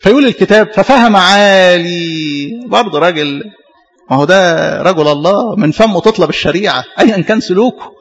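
High-pitched Egyptian man preaching in an echoing hall. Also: brisk, a bit bright. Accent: Egyptian Arabic. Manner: emphatic and didactic, with rhetorical questions and repetition. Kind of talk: sermon retelling Bible stories in colloquial speech with scripture quotes. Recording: reverberant hall with long echo.

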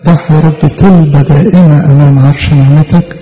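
A man's voice: on the high side, steady tone, brisk, dark tone. A man with an Egyptian accent leading a fervent, extemporaneous prayer in a church, pleading earnestly and repeating his appeals to God. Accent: Egyptian Arabic. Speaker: prayer leader. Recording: long hall reverb, dull lo-fi sound.

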